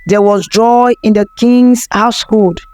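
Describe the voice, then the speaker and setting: A woman's voice: fairly high pitch, fairly even intonation, measured pace, dark tone, clear, resonant tone. A woman narrating a folktale from a script as a storyteller.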